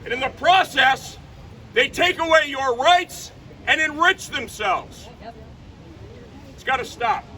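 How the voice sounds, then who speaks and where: A man giving a fiery political rally speech outdoors, loud and punchy, shouting his slogans. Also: very high-pitched, animated, slow pace, long pauses, distant mic, slight background noise. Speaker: rally speaker. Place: outdoor rally by a state capitol.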